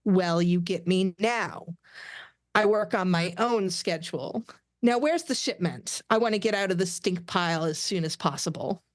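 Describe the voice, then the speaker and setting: A woman's voice: lowish voice, moderate pace, no echo, studio quality, close to an everyday voice. A woman playing a young alien officer posing as a tough pirate captain, commanding and insistent, in a table read. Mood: menacing. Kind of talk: fan script table read.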